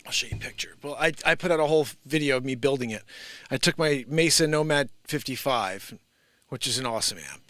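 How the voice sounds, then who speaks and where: Male American musician-streamer, bright sharp tone, loose slangy delivery, talking informally to an online audience. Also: medium pitch, a little fast. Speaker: musician hosting a livestream. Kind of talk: casual livestream chat to viewers.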